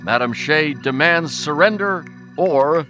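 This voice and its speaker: A man's voice: fairly high pitch, expressive, takes it slowly, bright, forceful tone, faint music behind. An American man announcing in 1940s radio style, with punchy, emphatic salesmanship.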